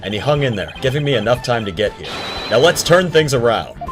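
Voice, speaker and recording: A man's voice: medium pitch, sing-song, brisk, lightly acted. A man playing an earnest, composed defense attorney with a polite, assured manner in a video game fandub. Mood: reassuring. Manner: polite, assured, occasionally exasperated. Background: prominent game music.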